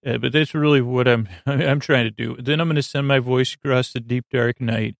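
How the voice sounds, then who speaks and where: A man hosting a sleep podcast, talking in a soft, lulling, creaky voice that wanders off on tangents, full of filler words and pauses. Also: medium pitch, steady tone, dry recording, studio quality. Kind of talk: meandering bedtime monologue.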